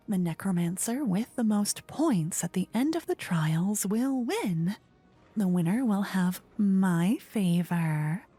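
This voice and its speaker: A woman playing a playful, haughty, easily bored queen in a fantasy ASMR roleplay, soft-spoken and teasing. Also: fairly low pitch, breathy edge, full character voice. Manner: theatrical, teasing, soft-spoken with whispery phrases. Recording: close dry ASMR microphone.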